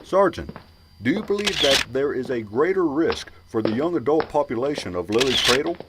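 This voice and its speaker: A man's voice: mid-range voice, big pitch swings, moderate pace, background noise, close to an everyday voice. An actor playing an insistent male news reporter in an amateur radio drama. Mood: pushy. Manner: insistent, pressing.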